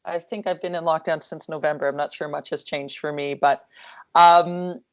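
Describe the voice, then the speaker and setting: A woman hockey coach chatting casually on a video call, with a calm, soothing voice and lots of fillers and restarts. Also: sits low, normal speed.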